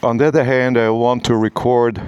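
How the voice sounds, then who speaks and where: A man whose first language is Lithuanian gives a spiritual teaching in slow, halting short phrases while walking outdoors on a city street. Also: medium pitch, little pitch movement, a little mellow, clear, resonant tone, no echo. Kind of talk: spoken spiritual teaching on a walk. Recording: noisy street recording. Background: traffic and roadworks.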